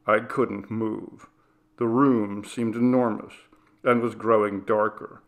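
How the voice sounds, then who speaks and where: A man reading a ghost story aloud, in a slow, measured and grave way. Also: fairly low pitch, little pitch movement. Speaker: reader. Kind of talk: scripted ghost story narration.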